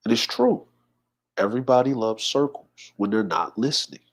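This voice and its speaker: A man's voice: mid-range voice, moderate pace. A man of forty hosting a casual livestream, reading viewer comments aloud and greeting people by name. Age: 40s. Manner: relaxed, shouts out viewers and reads comments aloud. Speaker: livestream host.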